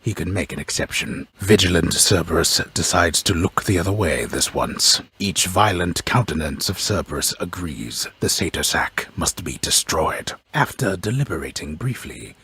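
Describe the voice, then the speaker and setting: A man playing a grave, pompous storyteller in a mythic video game, narrating deliberately with wry, deadpan solemnity and a gravelly voice. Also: low voice, no room sound, heavily acted. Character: grave, pompous old storyteller narrating Greek myth, wryly ominous. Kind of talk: scripted dramatic storybook narration.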